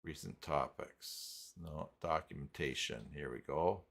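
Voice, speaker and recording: Low-pitched man hosting a casual live stream. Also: vocal fry, a bit bright. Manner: halting, thinking aloud while clicking around. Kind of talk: casual live tutorial talk, reading chat.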